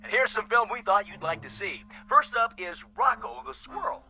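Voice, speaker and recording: An actor playing a ghoulish, gleefully cruel television host in a radio drama, with theatrical, cackling announcer patter. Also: male voice, very high-pitched, animated, normal speed, gravelly, pushed and bright, faint music behind, heavily acted, rough recording. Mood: gleeful showman.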